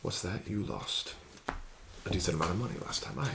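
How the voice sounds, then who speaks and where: A man playing a casino card dealer in an ASMR roleplay, speaking softly with whispered phrases and formal, courteous, gently coaxing patter. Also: sits low, brisk, vocal fry, noisy background.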